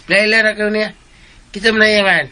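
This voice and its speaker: A man playing a slow-witted, boastful sidekick in a radio drama, with stammering, hesitant repetitions. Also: high voice, fairly even intonation, sharp tone. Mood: boastful, whining.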